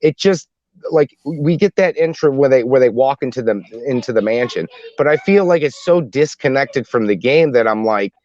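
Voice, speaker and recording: A man's voice: mid-range voice, lively intonation, normal speed, a little mellow, highs cut off. A man co-hosting a podcast in casual panel discussion, often restarting his sentences as he goes. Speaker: podcast co-host.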